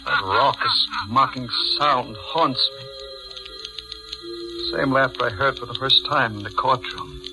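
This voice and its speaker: A man's voice: medium pitch, vocal fry, low-quality recording. An actor playing a haunted judge who narrates his dread in brooding, dramatic 1940s radio suspense style. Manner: slow, brooding, dramatic delivery. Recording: 1940s radio recording with loud music.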